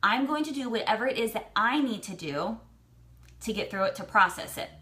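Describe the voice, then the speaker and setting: Higher-voiced American woman giving emphatic advice. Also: expressive, a little fast, pushed and bright. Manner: emphatic, blunt, repeats phrases for stress. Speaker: vlogger giving personal advice. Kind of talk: motivational advice talk to camera.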